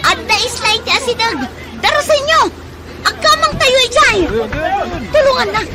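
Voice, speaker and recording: Frantic, shrill-high Ilocano ferry passenger. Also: female voice, animated, normal speed, over a noisy crowd. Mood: urgent. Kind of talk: Ilocano radio drama acting. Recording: noisy outdoor sound effects behind.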